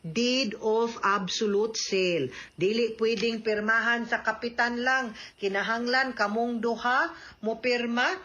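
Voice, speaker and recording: A woman's voice: a little high, a little slow, sharp tone, lo-fi sound. A woman with a Cebuano accent giving legal advice to radio listeners in an emphatic, instructive, conversational way. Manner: emphatic, instructive, checking with tag questions.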